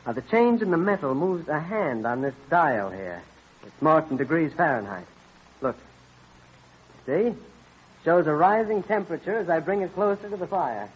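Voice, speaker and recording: An excitable, modest colonial inventor in an old radio play. His pitch moves in lively sweeps as he explains things eagerly. The voice is a little husky, heard through a dull vintage recording. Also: normal speed. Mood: eager explaining. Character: earnest, excitable colonial American inventor and clockmaker. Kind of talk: old-time radio drama acting.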